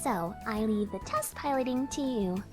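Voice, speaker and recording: An actress playing an excited, proud young student engineer in a visual novel game. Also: female voice, on the high side, normal speed, close to an everyday voice. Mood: casual, thoughtful. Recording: music playing behind the voice.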